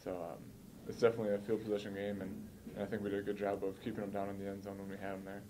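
Young guy athlete at a presser, low and even, bit of room noise. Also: very fast. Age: early twenties. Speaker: college football player. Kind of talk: post-game press conference answers. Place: indoor press room, seated at a table.

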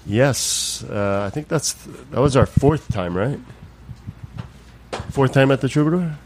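A man's voice: medium pitch, animated, unhurried, a little mellow, slight background noise. A man from a band giving short, easygoing answers in an interview. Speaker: band member.

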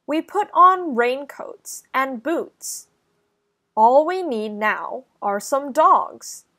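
Young woman, children's story reader, high pitch, extremely unhurried, deliberate enunciation. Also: expressive, bright, forceful tone. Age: young adult. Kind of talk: slow, clear children's read-aloud.

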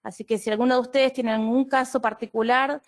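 A woman's voice: fairly high pitch, fairly even intonation, brisk. Argentine woman hosting an online webinar. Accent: Argentine Spanish. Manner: friendly, explanatory, with occasional fillers. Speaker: webinar host and clinical associate. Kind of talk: presenting an online training webinar.

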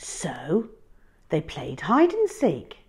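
A woman storyteller reading a picture book expressively, with a dramatic, drawn-out delivery for young children. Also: low voice, wildly swooping pitch, a little mellow, breathy edge.

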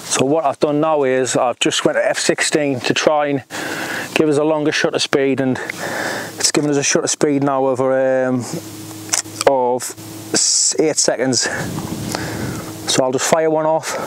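A man's voice: mid-range voice, fairly even intonation, measured pace, a little hiss or hum. A man, chatting casually as he explains his work, recorded outdoors on a lapel mic. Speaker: landscape photographer. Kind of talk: casual on-location vlog. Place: outdoors on open limestone moorland.

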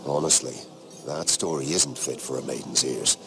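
An actor playing a courteous, wary middle-aged lord in a fantasy game, confiding gossip discreetly. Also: male voice, rumbling low, steady tone, normal speed, creaky, background noise. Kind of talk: dramatic fantasy game acting.

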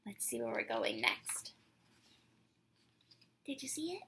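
A woman in her twenties doing an expressive, theatrical picture-book read-aloud for children, drawing out words and putting questions to her young listeners. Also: fairly high pitch, slow, vocal fry, slight background noise. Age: 20s. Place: small decorated room indoors.

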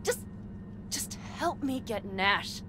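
Fiery, blunt young nomad, very animated. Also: female voice, medium pitch, takes it slowly. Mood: urgent, frustrated. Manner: blunt, insistent, foul-mouthed. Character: fiery, headstrong young nomad woman out for revenge. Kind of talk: video game voice acting. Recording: loud vehicle noise and game ambience.

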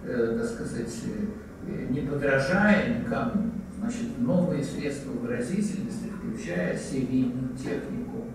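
Older man answering, halting and echoey. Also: mid-range voice, animated, background noise. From Russia. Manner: halting, many filler pauses. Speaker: interviewed composer. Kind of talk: interview answer. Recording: archival interview clip, echoey and distant.

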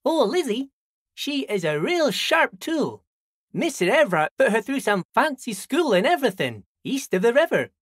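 A man's voice: extremely high pitch, very animated, normal speed, a bit bright, breathy edge, dry recording, clean studio sound, heavily acted. An actor playing a friendly, simple-minded old dockworker in a video game, folksy and rambling, repeating himself as he chats. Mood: friendly, chatty. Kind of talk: video game character dialogue.

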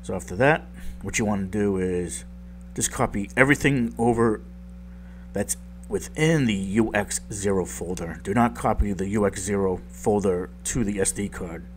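A man with a very low, groggy voice, like he just woke up, talks casually through a software tutorial. He rambles and pokes fun at his own mistakes. A faint hum sits under the recording. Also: normal speed. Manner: rambling, self-deprecating, lots of ums. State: just woke up, deep morning voice. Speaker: tech tutorial youtuber.

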